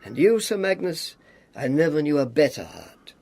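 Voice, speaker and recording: An actor playing a weary, sardonic but affectionate communist spy and battered survivor in a radio drama, with theatrical, deliberate, clipped phrasing. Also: male voice, a little high, very animated, a little mellow. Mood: tender.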